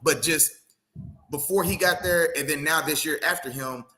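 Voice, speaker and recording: Animated man. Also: a little high. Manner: animated, fast opinions, says "you know what I'm saying". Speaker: sports podcast co-host. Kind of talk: casual sports talk.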